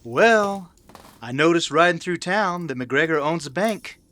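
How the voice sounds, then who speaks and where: A man playing a proud, prickly cowboy father in a western radio drama, talking in a terse, gruff manner. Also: fairly high pitch, expressive, unhurried, sharp tone, voice pushed up. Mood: scheming. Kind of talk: old-time western radio drama acting.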